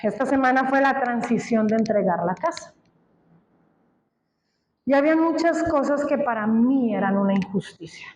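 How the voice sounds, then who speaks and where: A woman's voice: on the high side, lively intonation, moderate pace, pauses often, a little mellow, echoey room, slight background noise, low-quality recording. A woman from Colombia preaching a sermon, speaking emphatically and asking the congregation questions. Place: church.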